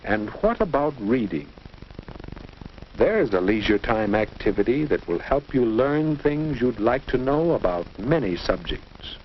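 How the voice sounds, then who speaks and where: A man's voice: mid-range voice, very animated, measured pace. A man playing a guiding, didactic American narrator in a 1950 instructional film, on a dull, noisy old soundtrack. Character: guiding, fatherly narrator advising a teenage boy.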